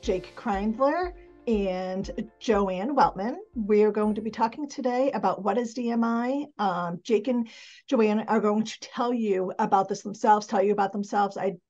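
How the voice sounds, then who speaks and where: A woman's voice: medium pitch, measured pace, a little mellow, soft background music. A woman hosting a webinar, informally welcoming people and introducing the guests. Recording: dull lo-fi webinar audio.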